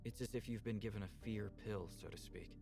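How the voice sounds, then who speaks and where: A man voicing a gentle, earnest alien man from a visual novel, measured and explanatory in manner. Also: over music. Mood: calm, reassuring. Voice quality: low, gravelly.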